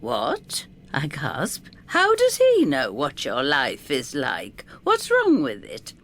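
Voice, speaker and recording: An actress playing a plucky, down-to-earth older woman who narrates her adventure in an expressive, wry first-person style. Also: deep voice, takes it slowly, full character voice. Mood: concerned, exasperated. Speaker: narrator and lead actor.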